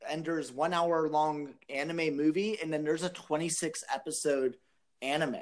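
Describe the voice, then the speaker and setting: Higher-voiced man in casual podcast talk. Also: fairly even intonation, normal speed. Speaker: podcast co-host.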